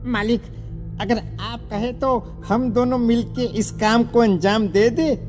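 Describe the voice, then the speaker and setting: An anxious, scheming villager in a cartoon horror tale, voiced by a woman. The delivery is deferential and slow, pitched fairly high, over loud music. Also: steady tone. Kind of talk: animated horror story voice acting.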